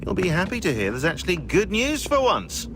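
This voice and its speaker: An actor playing a dry, impatient tech expert in a video game. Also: male voice, sits high, huge pitch swings, a little fast, heavy background noise. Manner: dry, sarcastic. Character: sardonic, impatient British male tech expert.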